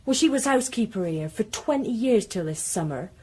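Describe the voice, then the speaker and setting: A woman's voice: medium pitch, lively intonation, fairly quick, a little mellow, slight background noise, close to an everyday voice. An actress playing a candid, gossipy young servant girl, chatty yet deferential, in period radio drama. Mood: rueful. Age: young adult.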